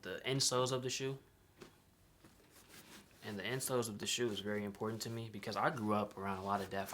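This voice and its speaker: A man's voice: fairly low pitch, a bit bright, a little hiss or hum. A young man from Chicago talking casually about his custom sneaker, in laid-back slang that often ends with "right?". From Chicago, USA. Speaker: sneaker designer. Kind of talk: casual vlog explanation.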